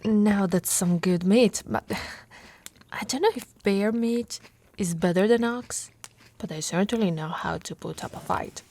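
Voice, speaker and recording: Woman voicing a slow-talking, affectionate orc warrior wife. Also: mid-range voice, a little mellow. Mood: playful, boastful. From Italy. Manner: intimate, teasing, unhurried, often dropping to a whisper. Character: brawny affectionate female orc warrior wife, playfully boastful. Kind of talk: ASMR roleplay.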